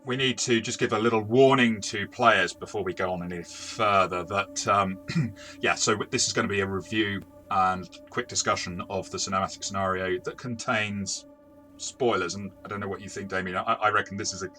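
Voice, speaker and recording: A man's voice: lowish voice, fairly even intonation, moderate pace, sharp tone, faint music behind. A man co-hosting a review, talking in a casual, discursive and explanatory way. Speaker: co-host and game reviewer.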